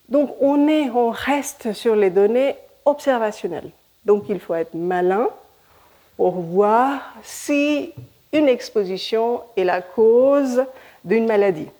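A woman's voice: mid-range voice, mellow. A woman from India, a native Hindi speaker, with an Indian accent, giving a conference talk on epidemiology, searching for words and often restarting. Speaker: epidemiology researcher.

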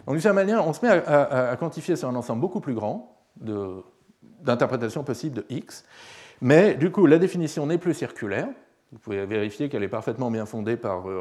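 A man giving a university lecture, slightly hoarse, hesitant with many fillers and restarts, often checking that students follow. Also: mid-range voice, darkish tone.

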